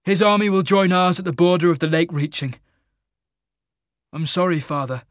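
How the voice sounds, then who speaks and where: A man's voice: high-pitched, moderate pace, dry recording, clean studio sound, pitched above the performer's own voice, natural voice. A man voicing an earnest young warrior king, an insistent and pleading son in a fantasy audiobook. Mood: ashamed. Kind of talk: dramatic fantasy audiobook character.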